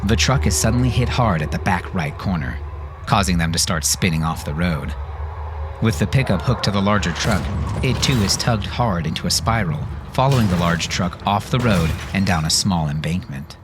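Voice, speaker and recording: A man's voice: low voice, lively intonation, moderate pace, background noise. A man reading dramatic scripted narration as an audiobook narrator.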